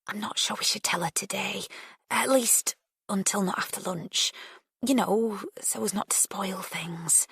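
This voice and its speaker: A woman voicing a tactful, supportive female friend who mediates gently in an audiobook. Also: medium pitch, unhurried, vocal fry, breathy edge, no room sound, clean studio sound, pitched above the performer's own voice, exaggerated voice. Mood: hushed. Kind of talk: audiobook character dialogue.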